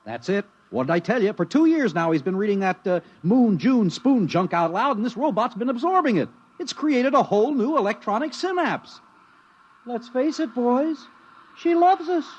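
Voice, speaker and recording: High-pitched sarcastic spaceman. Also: sing-song, normal speed, exaggerated voice. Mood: incredulous, fed up. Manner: sardonic, emphatic. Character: sarcastic, fed-up spaceship crewman. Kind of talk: 1950s radio drama acting. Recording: dull, lo-fi old radio recording.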